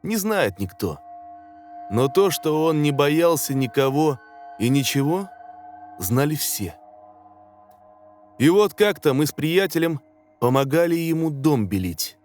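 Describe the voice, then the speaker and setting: A man reading a scary story as a dramatic scripted narration, in a measured, suspenseful way. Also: fairly high pitch, very animated, a little mellow. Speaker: narrator of horror stories. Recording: music under the voice. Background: background music.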